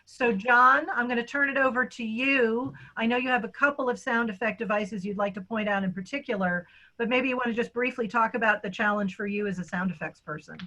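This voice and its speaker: A woman's voice: a little high, a little fast, sharp tone, poor audio. A middle-aged woman, a theatre director, hosting an online event with introductions and thanks. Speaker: theatre company director and host.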